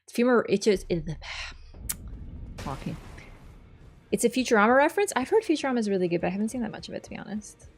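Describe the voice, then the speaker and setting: A woman's voice: a little high, sing-song, brisk, pauses often, husky, faint music behind. A woman with a Toronto accent streaming a video game, chatting with viewers in a rambling, self-deprecating way. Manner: scatterbrained and rambling, self-deprecating, loses her train of thought. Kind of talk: casual livestream chat, reading and thanking viewers.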